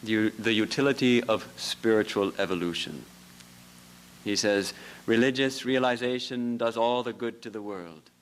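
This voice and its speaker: A man with a fairly low voice gives a temple lecture as a monk. He tells small stories with soft laughter and builds his points through earnest repetition. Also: moderate pace, a little hiss or hum. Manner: storytelling, with soft laughs and earnest repeated phrases. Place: temple hall.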